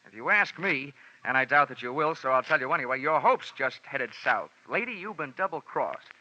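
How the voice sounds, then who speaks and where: An American actor playing a tough, wisecracking insurance investigator with a wry, snappy delivery in an old radio detective drama. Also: male voice, medium pitch, animated, a little fast, bright, forceful tone. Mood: hard, mocking. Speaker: lead character and narrator. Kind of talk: 1950s radio detective drama, hardboiled narration. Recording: dull vintage radio broadcast recording.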